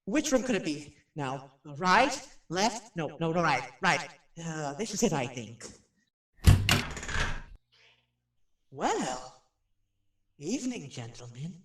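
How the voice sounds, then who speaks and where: Nervous radio personality, higher-pitched, jittery stammer, rambling chatter, radio drama, roomy echo. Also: male voice, big pitch swings, a little slow, a bit bright. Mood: panicked. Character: nervous chatty male radio personality, rattled in haunted house. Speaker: radio host. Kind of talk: radio drama acting, rambling live monologue. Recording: roomy, distant microphone.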